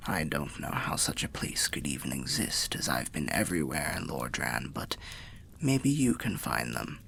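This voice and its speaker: A man playing a weary, wry undead storyteller in an ASMR fantasy roleplay, confiding in a breathy, gravelly half-whisper with old-fashioned phrasing. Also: rumbling low, sing-song, moderate pace, sharp tone, slight background noise, full character voice. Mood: wry, musing.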